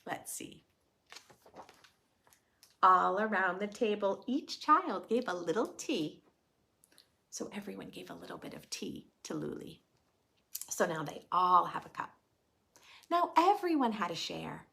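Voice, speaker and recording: A woman reads aloud for preschoolers in a gentle, expressive storytime style. Her pitch moves in lively swings while her pace stays slow and patient. A touch of creak runs through her voice as she speaks simply to young listeners. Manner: gentle, expressive, addressing young children with questions. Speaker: storytime host reading a picture book aloud to preschoolers. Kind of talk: children's read-aloud with simple explanations and questions.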